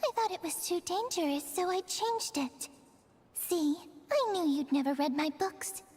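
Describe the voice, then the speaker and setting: A woman's voice: extremely high pitch, animated, a little slow, darkish tone. A woman voicing a small, earnest guide creature, a secretive author, in a video game. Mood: guarded, earnest. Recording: game music behind the voice.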